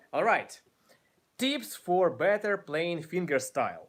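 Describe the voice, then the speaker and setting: A man of twenty-seven with a Ukrainian accent, chatting casually on a live stream from a small room, animated and often restarting his sentences. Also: sits high, slow pace. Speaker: guitar YouTuber. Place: small room by a brick wall, indoors.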